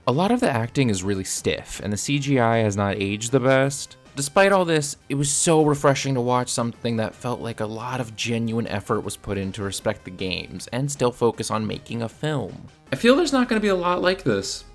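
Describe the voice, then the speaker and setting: A man's voice: medium pitch, animated, fairly quick, hardly any pauses, faint music behind. A man narrating a scripted video review in a casual, opinionated manner. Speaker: film reviewer.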